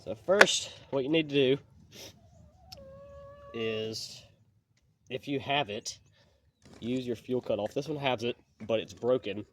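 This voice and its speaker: Sniffly DIY host, folksy and plainspoken, recorded on a camera mic with audible noise behind. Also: male voice, medium pitch, slow, pauses often. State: sniffly from allergies. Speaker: channel host. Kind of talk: casual how-to repair walkthrough.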